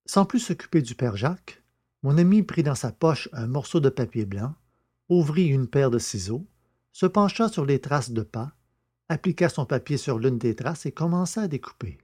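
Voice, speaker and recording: Male narrator, scripted literary reading, warm soft-edged timbre, medium pitch. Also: animated, normal speed, slightly rough. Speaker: audiobook narrator.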